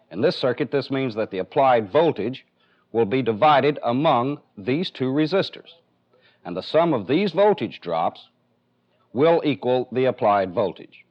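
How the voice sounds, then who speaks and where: A man with an American accent giving a methodical, step-by-step technical demonstration as an instructor in a training film. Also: medium pitch. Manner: methodical, plainly explanatory. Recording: dull, band-limited 1970s film soundtrack.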